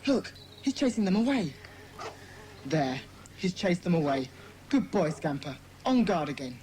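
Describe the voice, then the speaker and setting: Commanding, sensible boy club leader played by a woman, children's audio adventure, slightly low voice. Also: very animated, unhurried, mellow, slight background noise. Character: bossy, sensible schoolboy leading a children's club. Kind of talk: dramatised children's audiobook acting.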